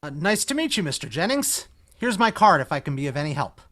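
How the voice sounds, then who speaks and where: A man's voice: a little high, sing-song, quick pace, a bit bright. A man playing a polite, eager young bank newcomer with a deferential manner in a musical theatre table read.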